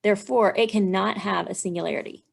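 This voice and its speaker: A woman's voice: mid-range voice, steady tone, fast talker, creaky, slightly rough. A woman engineering instructor giving a methodical, step-by-step walkthrough, thinking aloud as she works through math.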